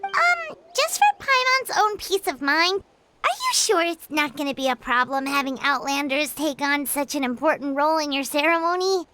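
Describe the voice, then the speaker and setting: A chirpy little fairy companion in a video game, with a very high voice that leaps up and down as she talks. She refers to herself in the third person. Loud game noise sits behind her. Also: expressive, normal speed. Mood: hesitant.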